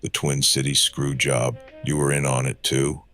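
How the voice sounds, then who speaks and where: Very deep, monotone, grim noir avenger. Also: male voice, moderate pace, faint music behind. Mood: bitter, icy. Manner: terse, menacing, accusing. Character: grim, battered ex-wrestler turned noir avenger. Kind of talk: hardboiled noir audio drama acting.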